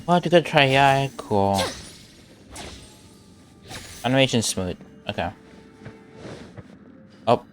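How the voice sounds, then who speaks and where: A man's voice: mid-range voice, lively intonation, takes it slowly, long pauses, soft background music. A man doing casual live game commentary, muttering to himself as he plays. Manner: muttering to himself while playing. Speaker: game streamer.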